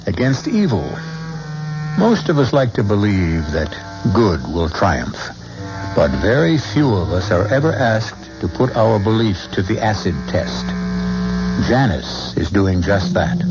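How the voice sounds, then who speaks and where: A man hosting and narrating a radio mystery drama in a measured, ominous way, from an old radio broadcast. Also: on the low side. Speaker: host and narrator. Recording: dull old radio broadcast with music behind.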